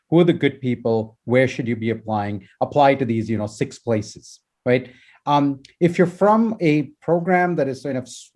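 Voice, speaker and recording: A man from India, a professor on a video-call panel, talking quickly and lively with restarts. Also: mid-range voice. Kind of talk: panel discussion.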